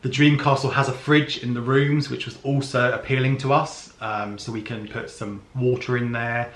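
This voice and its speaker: A man's voice: mid-range voice, steady tone, normal speed. A man vlogging, casually explaining travel plans with many ums. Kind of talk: casual planning chat. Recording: echoey room.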